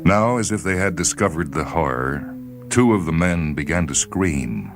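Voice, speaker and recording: A man reading a short story as dramatic narration, grave and measured, with theatrical emphasis. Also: sits low, expressive, over music. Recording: faint noise and ambient sound bed. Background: faint ambient sound.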